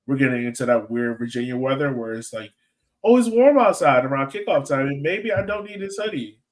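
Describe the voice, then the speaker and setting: A man chats as a sports podcast host with a medium-pitched voice. He talks informally and works out his points aloud in a loose, rambling way. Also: expressive, a little fast, poor audio. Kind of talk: casual soccer fan chat and analysis.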